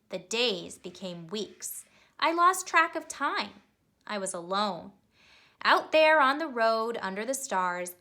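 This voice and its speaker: A woman reading a children's picture book aloud, telling it expressively and pausing to ask young listeners questions. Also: fairly high pitch, sharp tone. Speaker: storybook reader.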